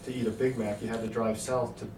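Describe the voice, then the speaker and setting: A man's voice: medium pitch, little pitch movement, fast. A man reading a scripted story aloud as its narrator. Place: hotel room. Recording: echoey hotel room, distant mic, noisy.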